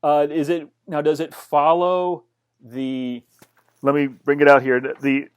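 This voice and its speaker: A man's voice: on the high side, flat delivery, a little slow, clear, resonant tone. A man in his forties hosting a casual interview from a home study, asking questions with eager, joking asides. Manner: jokey, enthusiastic questioning. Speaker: podcast host. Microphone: earbuds on video call.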